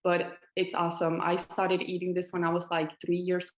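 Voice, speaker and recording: A woman's voice: lowish voice, monotone, moderate pace, reverberant. A Chilean woman in her twenties, a native Spanish speaker, answering interview questions casually with many fillers and restarts. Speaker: author guest.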